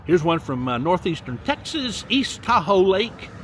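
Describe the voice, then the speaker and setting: A man's voice: sits high, sing-song, a little slow, a bit bright, slight background noise. An older man from Mississippi, a vlogger reading out where his viewers are watching from, stretching the place names in a sing-song way and adding chatty asides. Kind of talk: casual viewer shout-outs. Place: outdoors by a lakefront.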